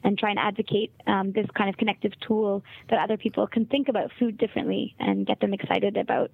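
A woman's voice: mid-range voice, vocal fry, breathy edge, dry recording. Canadian woman on a tinny phone line. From Montreal, Canada. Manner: articulate, reflective, measured. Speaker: guest, travel writer. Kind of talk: podcast interview.

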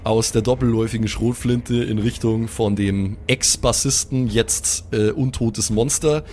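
A man's voice: medium pitch, fairly even intonation, a little slow, a little mellow, slight background noise. A man with a Bavarian accent improvising colloquial, vivid narration as the game master of a tabletop game.

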